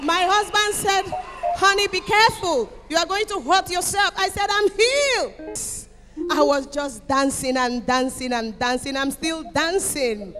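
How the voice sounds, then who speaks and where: Middle-aged woman, high-pitched, emphatic testimony to a congregation, reverberant hall PA. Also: lively intonation, unhurried, a bit bright. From Equatorial Guinea. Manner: emphatic, declamatory, repeating phrases. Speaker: church member giving testimony. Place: church auditorium. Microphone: handheld microphone. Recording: echoey hall PA with music behind.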